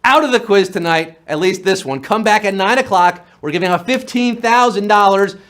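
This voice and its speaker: A man's voice: sits high, fairly bright tone. A man hosting a live trivia game show, reading questions and joking playfully between answers. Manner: playful, punning, fast-patter quiz patter. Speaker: quiz show host.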